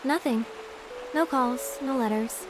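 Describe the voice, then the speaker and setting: A woman voicing a candid, wistful young woman in a video game, talking in a soft, conversational way. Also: sits high, takes it slowly, background noise. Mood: subdued. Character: candid, warm-hearted young woman, wistful and gently teasing. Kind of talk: English-language video game voice acting.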